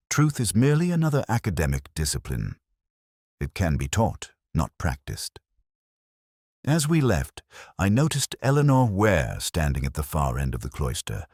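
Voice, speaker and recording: Man acting as weary middle-aged detective, extremely low voice, animated intonation, brooding, literary, measured radio drama narration. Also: dry recording, studio quality, full character voice. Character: weary, melancholy, reflective middle-aged male police inspector. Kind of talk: first-person scripted detective drama narration.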